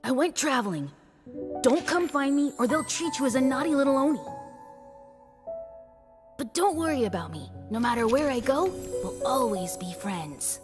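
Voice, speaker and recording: A woman voicing a young, selfless blue oni in a story told inside a video game, earnest and expressive. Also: high voice, moderate pace, pauses often, voice pushed up. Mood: tender farewell. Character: young kind-hearted blue oni, selfless little demon friend. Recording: game music behind the voice.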